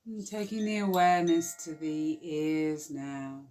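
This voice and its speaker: Slow soft-spoken woman guiding meditation. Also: low-pitched, soft background music. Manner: slow, soft, soothing guidance with long pauses. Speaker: yoga nidra teacher. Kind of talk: guided relaxation meditation.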